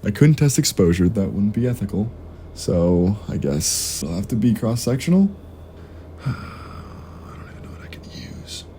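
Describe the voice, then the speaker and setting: Young student losing faith in himself, very dark soft tone, hesitant, faint noise. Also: male voice, lowish voice, big pitch swings, unhurried, pauses often. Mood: stressed. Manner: hesitant, sighing, subdued. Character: discouraged, self-doubting young male university student. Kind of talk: naturalistic audio drama acting.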